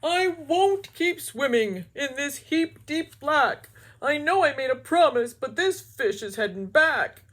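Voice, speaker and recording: A woman voicing an earnest, worried male fish hero in a storybook, in a loud, bright, sing-song voice. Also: high voice, animated, takes it slowly, pitched above the performer's own voice, exaggerated voice. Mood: scared.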